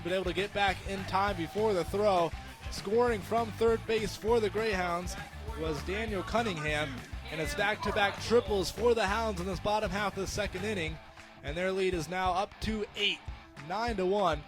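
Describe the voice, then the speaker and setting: A young American man calling live sports play-by-play for radio in an excited, emphatic manner. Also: sits high. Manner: excited, emphatic, rising to shouts on big plays.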